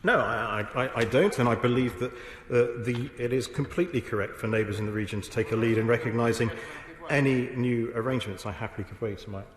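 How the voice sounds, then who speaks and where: A man gives a formal speech in a large debating chamber, measured and a little halting, tripping over and restarting words. The room is echoey, with a long hall reverb trailing each phrase. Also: medium pitch. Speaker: government minister answering in debate. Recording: echoey chamber broadcast feed.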